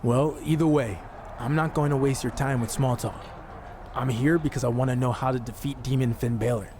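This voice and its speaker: An actor playing a determined, earnest pro wrestler in a video game cutscene, speaking directly. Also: male voice, mid-range voice, fairly even intonation, a little fast, close to an everyday voice. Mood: businesslike. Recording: noticeable outdoor urban noise.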